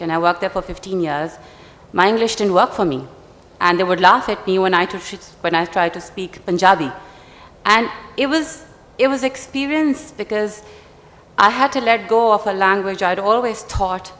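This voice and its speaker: A woman from Pakistan, a native Urdu speaker, speaking in a measured way on a festival panel. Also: mid-range voice, a bit bright. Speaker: panel author. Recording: reverberant festival hall on PA.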